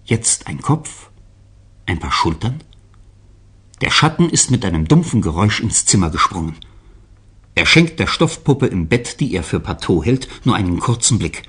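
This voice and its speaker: A male narrator reads a scripted radio drama. His voice is very low and husky, and his intonation rises and falls in a lively way as he sets each scene. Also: normal speed.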